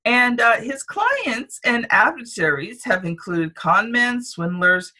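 A woman from Canada hosting a podcast, introducing her guest with unhurried enthusiasm. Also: on the high side, big pitch swings, sharp tone. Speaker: podcast host. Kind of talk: interview hosting.

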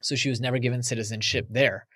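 A man's voice: mid-range voice, steady tone, fast, a bit bright, dry recording, studio quality. A man from the United States, a podcast guest, talking casually and reflectively in an interview.

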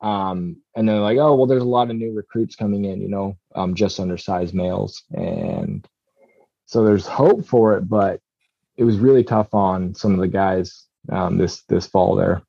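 A man's voice: low-pitched, steady tone, moderate pace, creaky, mellow, dry recording, muffled recording. A man from Southeast Alaska, a commercial fisherman chatting casually as a podcast guest, rambling with many ums and restarts.

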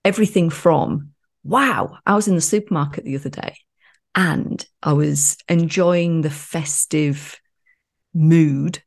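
Female podcast co-host, very low and gravelly voice, playful and jokey. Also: measured pace. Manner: jokey, playful, swears casually. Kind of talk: casual podcast chat.

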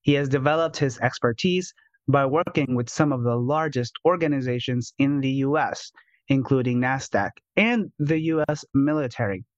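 A man hosting a podcast as a life coach, reading a polished intro and then asking interview questions in a smooth, upbeat way. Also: medium pitch, normal speed, no room sound, highs cut off.

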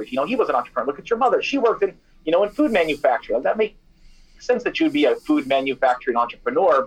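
A man's voice: mid-range voice, breathy edge, rough recording. A young man, a snack company founder and former radio DJ, answering interview questions in a casual, chatty way, full of "you know" and "like". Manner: chatty and animated, many fillers like "you know" and "like".

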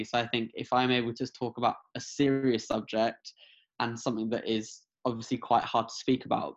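A man in his twenties, raised in England and France, talking earnestly as a podcast guest, with many restarts. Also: mid-range voice. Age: 20s. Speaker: guest, mental health advocate. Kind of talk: casual interview over video call. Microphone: video call. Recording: slightly dull lo-fi audio.

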